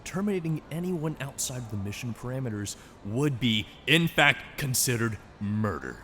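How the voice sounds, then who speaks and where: Indignant official, voice swinging widely. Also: male voice, mid-range voice, big pitch swings, normal speed, a bit bright, slight background noise. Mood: scornful. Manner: confrontational, sarcastic. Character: indignant, sarcastic male government agency official. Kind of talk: dramatic dialogue.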